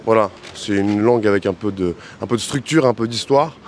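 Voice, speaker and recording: A man's voice: on the low side, brisk, a little mellow, slight background noise. A man answering a street interviewer in a wry, joking way.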